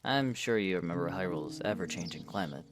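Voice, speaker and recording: A man's voice: low voice, expressive, a little fast. A man playing a considerate, reserved young knight in a fan audio drama, speaking in an earnest, hesitant way. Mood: practical, considerate. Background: music.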